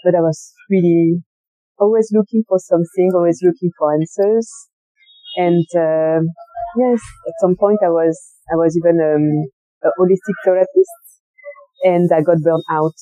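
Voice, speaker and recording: A woman's voice: lowish voice, steady tone, moderate pace, warm tone, no room sound, soft background music, rough recording. A young woman with a French accent, a novice Buddhist nun speaking as an interview guest in a roadside cafe, with a light, earnest manner as she searches for words. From France. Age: young adult. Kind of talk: conversational interview. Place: roadside cafe on a busy street.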